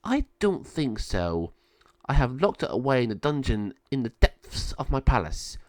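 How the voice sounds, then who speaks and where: A man voicing a scheming villainous sorceress who taunts her sister with sneering, drawn-out words. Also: medium pitch, big pitch swings, no room sound. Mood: menacing.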